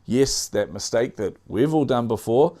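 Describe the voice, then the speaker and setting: A man's voice: mid-range voice, expressive, measured pace, dark tone. A man with a New Zealand accent hosting a casual tech news show, chatty, with frequent fillers and dad jokes.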